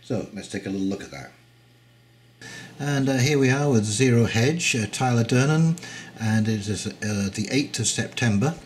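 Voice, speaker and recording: A man's voice: medium pitch, normal speed, reverberant, a little hiss or hum. An older man with a head cold, sounding stuffed up, giving rambling, indignant commentary as a channel host, with many ums and uhs. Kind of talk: casual commentary reading news.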